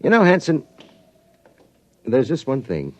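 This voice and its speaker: A man's voice: medium pitch, wildly swooping pitch, a little slow. An actor playing a wry, sympathetic base man in a 1950s radio drama. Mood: puzzled curiosity. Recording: dull vintage 1950s radio recording.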